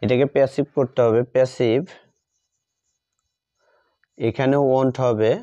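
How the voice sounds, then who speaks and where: A man with a Bengali accent gives a grammar lesson in a soft, mellow voice. He dictates extremely slowly, repeating each phrase in small pieces. The sound is dull and lo-fi. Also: mid-range voice, fairly even intonation. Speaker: English grammar teacher.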